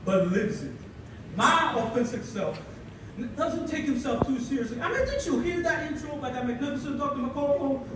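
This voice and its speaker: Energetic high-voiced young man in echoey hall. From Philippines. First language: Tagalog. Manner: energetic, playful storytelling with dramatic pauses. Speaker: keynote speaker. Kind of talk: ceremonial keynote speech. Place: large hall at a ceremony. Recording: echoey hall, mic far off, audible room noise.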